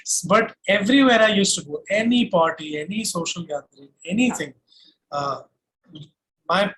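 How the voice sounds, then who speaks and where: A young man with an Indian accent speaks in a high-pitched voice. He talks as a singer being interviewed, casually telling stories in a conversational flow. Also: animated, normal speed, fairly bright tone, rough recording. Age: young adult. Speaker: singer-songwriter guest.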